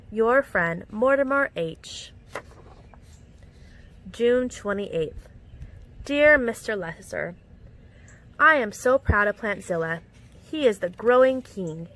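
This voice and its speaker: A woman reading a children's picture book aloud in an expressive, animated storytelling manner with clear enunciation. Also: a little high, rough recording. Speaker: storytime reader. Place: outdoors among plants.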